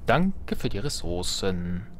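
A man's voice: low voice, sing-song, slow, noisy background. A man giving casual gaming commentary, talking to himself in a playful, sarcastic manner. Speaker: let's player.

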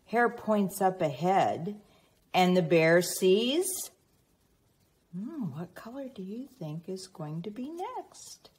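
A woman reading a picture book aloud expressively to young children, prompting them with teacherly questions and pauses. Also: mid-range voice, very animated, a little mellow, husky. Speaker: children's read-aloud reader.

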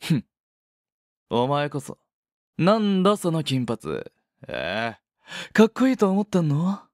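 A man's voice: fairly high pitch, sing-song, slow pace, no echo, clean studio sound. An actor playing a smug, condescending, possessive male schoolteacher in a romantic audio drama. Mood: mocking. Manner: condescending, teasing.

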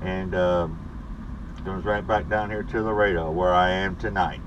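A middle-aged man talks from inside his truck cab, filming himself with a dash camera. He speaks slowly, with a slightly low voice, and rambles on with fillers and pauses. A clear engine and air conditioning hum runs under everything he says. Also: fairly even intonation, dull audio. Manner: laid-back, rambling, with frequent pauses and fillers. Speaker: truck driver vlogger. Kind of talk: casual weekly vlog. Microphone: camera mounted on the dashboard.

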